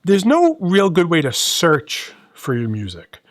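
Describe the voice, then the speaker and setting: A middle-aged man hosting a casual, conversational product review, explaining things in a measured way and speaking to viewers. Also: fairly high pitch, wildly swooping pitch, dry recording, studio quality. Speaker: gadget reviewer and host.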